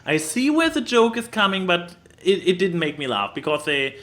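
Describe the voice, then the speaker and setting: A man co-hosting a podcast, casually discussing a film in an animated way and acting out bits as he goes. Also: high voice, normal speed. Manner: animated, acts out quotes. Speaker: podcast co-host.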